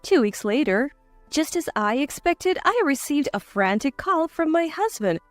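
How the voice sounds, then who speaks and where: A woman's voice: high-pitched, sing-song, unhurried, dry recording, faint music behind. A woman reading a scripted first-person dramatic story as narrator.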